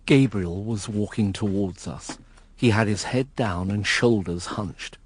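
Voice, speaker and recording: An actor playing a melancholy gentleman narrating his own past in a radio drama, measured, understated and reflective. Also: lowish voice, slightly rough, no room sound. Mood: wistful, sombre. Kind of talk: first-person dramatic narration.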